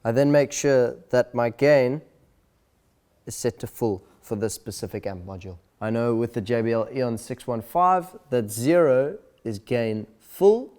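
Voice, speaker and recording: A man presenting an instructional video in a clear, deliberate, step-by-step way. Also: mid-range voice, lively intonation, a little mellow. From South Africa.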